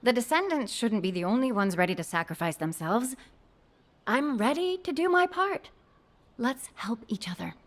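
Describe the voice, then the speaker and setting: Casting: young game researcher, female, highish voice, sincere, resolute, animated intonation. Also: normal speed. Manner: earnest. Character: earnest, determined young woman staff researcher. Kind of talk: video game voice acting.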